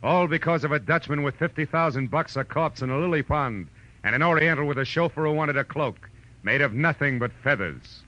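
An actor playing a wry, world-weary private detective in 1940s radio noir style, with a dry, hard-boiled manner. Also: male voice, a little high, a little fast, noisy background, lightly acted. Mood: intriguing, dramatic. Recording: muffled old radio recording, faint music.

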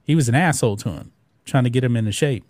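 A man on a podcast with a mellow, darker voice, drifting from one point to the next and filling gaps with ums. The sound is close and dry. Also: mid-range voice, fast talker, dry recording. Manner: chatty, digressive, trails off with fillers. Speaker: podcast co-host. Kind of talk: casual chat.

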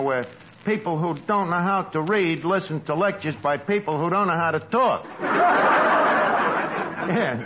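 An actor playing a brash, wisecracking New York tavern manager with ungrammatical, mock-refined speech, in 1940s radio comedy style. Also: male voice, sits high. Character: brash, malapropping tavern manager with pretensions to class. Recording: noisy, muffled old radio broadcast recording.

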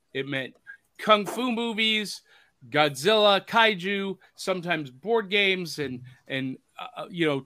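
A man's voice: sits high, sharp tone, no room sound. A man hosting a pop culture podcast, upbeat and jokey in casual chat. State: hoarse from overuse. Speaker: podcast host.

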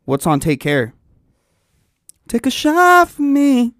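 Animated, lively-voiced male co-host. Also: very high voice, takes it slowly, no echo, clean studio sound. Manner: animated, sing-song, riffing on song titles. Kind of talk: casual chat.

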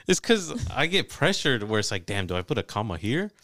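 A man's voice: medium pitch, very animated, fairly quick, bright, forceful tone, dry recording. A man in casual chat, laid-back and asking curious questions. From Mexican family.

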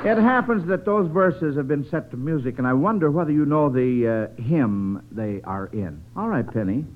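A man's voice: a little high, animated, normal speed. A man hosting a 1940s radio quiz for children in an encouraging, folksy, slightly hesitant way. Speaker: quiz show host. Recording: muffled old radio broadcast.

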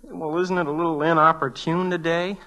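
A man's voice: on the high side, level tone, a little fast, sharp tone, low-quality recording. A man playing a snobbish, wealthy teenage schoolboy in a 1940s radio drama, haughty and priggish with bookish wording. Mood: protesting.

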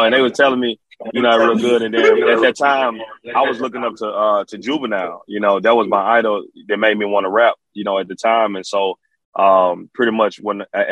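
Male rapper guest, Memphis Southern accent, lowish voice, dry close sound, casual storytelling full of slang and repeated phrases. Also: fairly bright tone, no echo, highs cut off. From Memphis, Tennessee. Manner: repetitive, slang-peppered, frequent "you know".

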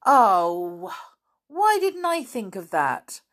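A woman doing excitable schoolboy Dick. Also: extremely slow. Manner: excited, high-pitched.

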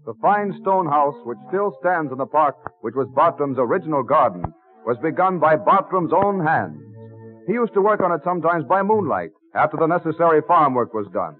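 A man giving formal, measured storytelling narration for an old radio drama. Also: on the high side, big pitch swings. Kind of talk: mid-century American radio scripted narration. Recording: tinny old radio recording with music. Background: music.

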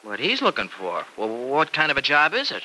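A very bright, forceful voice that swings freely in pitch: an earnest young American farm fellow in an old radio drama. He is folksy, slangy and teasing. The old recording carries a faint hiss. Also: huge pitch swings, a little fast, full character voice, rough recording. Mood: teasing, eager. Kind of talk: mid-century American radio drama acting.

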